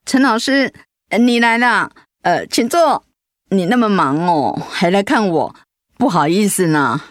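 A woman's voice: mid-range voice, animated, dry recording, clean studio sound, lightly acted. An actress playing a sick, easily hurt wife and mother in a radio drama, with a Taiwanese accent and an insistent, whiny, emotive delivery. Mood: contrite, grateful. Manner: whiny, emotive, with drawn-out sentence particles.

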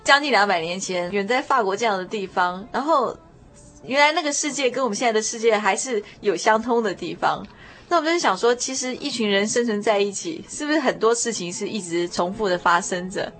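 A woman with a Taiwanese accent hosting a conversational radio show in an earnest, expressive manner. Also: mid-range voice, fairly quick, faint music behind.

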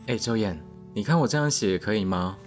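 A man's voice: on the low side, lively intonation, a little fast, soft background music, lightly acted. A man with a Taiwanese Mandarin accent playing a whiny, flustered young dorm resident in a podcast radio drama. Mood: frustrated, hopeful.

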